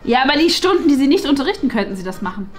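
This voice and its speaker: Woman as older lady teacher, high, lively, formal and fussy, faint music. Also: very fast. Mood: advising. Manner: polite, advising, formal address. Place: bright office room indoors. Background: background music.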